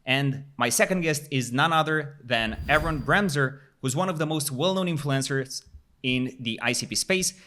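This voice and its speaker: A man's voice: mid-range voice, normal speed, bright, forceful tone. A man hosting a podcast, introducing guests and asking questions in a casual, effusive way, often repeating words. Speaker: podcast host.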